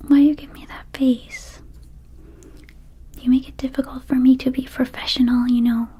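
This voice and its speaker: A woman voicing a small, laid-back, slightly shady vampire dispensary keeper in an ASMR roleplay, whispering softly in a rambling, casual sales patter. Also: sits high, flat delivery, normal speed. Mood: rambling, cautioning. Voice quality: soft, breathy whisper.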